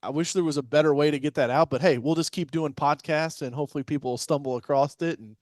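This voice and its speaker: A man's voice: fairly high pitch, steady tone, fast talker, a little mellow, no echo. A man hosting a podcast interview, chatting casually and often repeating words as he starts sentences. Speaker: podcast host.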